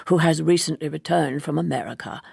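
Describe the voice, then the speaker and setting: A woman voicing a courteous, pedantic male novelist in a slow, deliberate, ornately polite audiobook delivery. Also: low-pitched, creaky, a little mellow, husky, no room sound, studio quality, heavily acted. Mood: courteous, formal.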